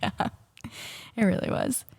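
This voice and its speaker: A woman's voice: unhurried, a little mellow. A woman in her thirties co-hosting a podcast in casual chat, her voice still scratchy after a cold, talking with frequent ums and laughs. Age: 30s. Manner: chatty, laughs often, many ums. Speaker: podcast co-host.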